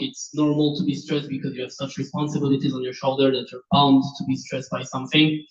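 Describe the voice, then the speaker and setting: Male panel candidate, native French, French accent, candid and informal; muffled, distant roomy recording. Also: mid-range voice. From France. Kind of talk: informal spoken answers to panel questions. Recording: dull, echoey room, distant mic.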